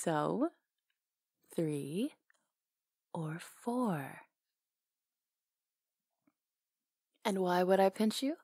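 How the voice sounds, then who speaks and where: A woman playing a flirty, tender salon owner with a crush, speaking softly and intimately in an ASMR romantic roleplay, teasing, pausing and sometimes near a whisper. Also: lowish voice, animated, no room sound, studio quality, heavily acted. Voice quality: soft, breathy, sometimes whispery. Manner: teasing, hesitant pauses, intimate.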